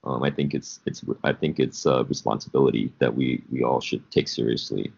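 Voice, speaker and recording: A man in his forties, raised in South Korea, who coaches high school basketball, answering interview questions in a halting, reflective way with many repeats. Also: steady tone, vocal fry, a little mellow, husky, highs cut off.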